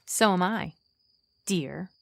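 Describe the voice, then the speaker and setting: A woman's voice: lowish voice, animated, slow, vocal fry, heavily acted. An actress playing a sarcastic, distrustful young woman archer in an audio drama, with an irritated, sardonic delivery. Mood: sardonic threat.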